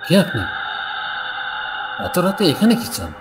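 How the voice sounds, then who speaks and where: Man acting a callous greedy villager, lecherous, lively swoops, higher-pitched voice, theatrical cartoon delivery. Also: measured pace, faint music behind. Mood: suspicious. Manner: exclamatory, muttering to himself, theatrical.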